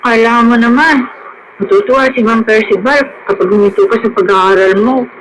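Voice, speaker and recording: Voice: mid-range voice, a little fast, fairly bright tone, lightly acted, poor audio. An actor with a Filipino accent playing a caring, encouraging young school friend in a radio drama. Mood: concerned, consoling. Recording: radio drama with faint noise.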